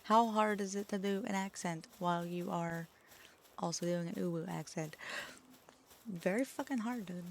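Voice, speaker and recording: A woman's voice: on the low side, normal speed, vocal fry, a little hiss or hum. A woman VTuber streamer chatting casually with her chat, swearing often and poking fun at herself. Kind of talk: casual livestream chat, answering chat.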